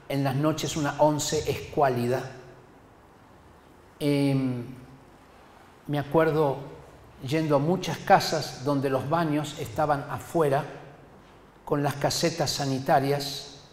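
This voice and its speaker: An older man from Argentina, preaching a sermon in an emphatic, deliberate manner and addressing his listeners directly. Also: a little high, darkish tone. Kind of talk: sermon to a congregation. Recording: echoey hall with long reverb.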